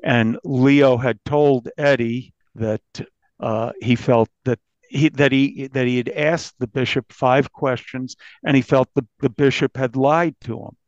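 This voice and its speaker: A man with an American accent recounting a case as an interviewed reporter, haltingly, often restarting his phrases. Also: mid-range voice, steady tone, no echo. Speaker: newspaper reporter being interviewed. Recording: dull, dry lo-fi recording.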